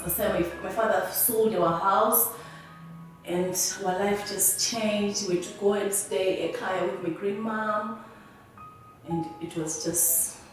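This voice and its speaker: A young woman from Zimbabwe with a Zimbabwean accent, telling her personal story in a halting, earnest way that often restarts words. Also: medium pitch. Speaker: interviewee. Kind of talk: personal testimony. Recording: very echoey room, voice from a distance.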